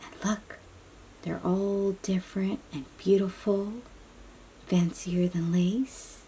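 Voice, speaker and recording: A woman with a soft, breathy voice reads a picture book aloud to young children, gently explaining and chatting to them as she goes. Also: medium pitch, level tone, low-quality recording. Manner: slow, child-directed, encouraging questions and affirmations. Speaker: read-aloud storybook host.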